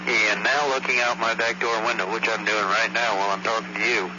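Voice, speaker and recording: Middle-aged American male caller, low voice, matter-of-fact and halting, on a muffled old phone recording. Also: flat delivery. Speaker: caller, eyewitness reporting a sighting. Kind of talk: informal phone report. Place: on a telephone at home. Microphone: telephone handset. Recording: noisy muffled archival phone line.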